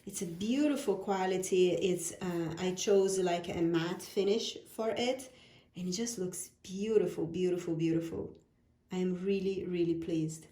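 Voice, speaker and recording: Slow, gushing Brazilian woman. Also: fairly low pitch, dark tone, echoey room. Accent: Brazilian Portuguese. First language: Portuguese. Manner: gushing, repeats words of praise. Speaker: oracle deck creator. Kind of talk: product unboxing vlog.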